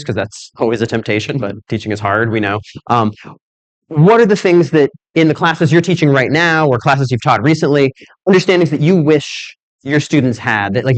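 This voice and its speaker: Male conference speaker, easygoing and chatty, mid-pitched voice, dry close mic. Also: no room sound. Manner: casual, conversational, with fillers. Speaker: keynote speaker. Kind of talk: conference keynote to teachers. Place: conference room.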